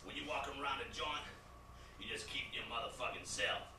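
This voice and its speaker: A man doing a swaggering gang inmate who talks in slangy, profane street speech. He sounds distant and roomy, with steady noise behind him, as in a live stage recording. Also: medium pitch, brisk, lightly acted.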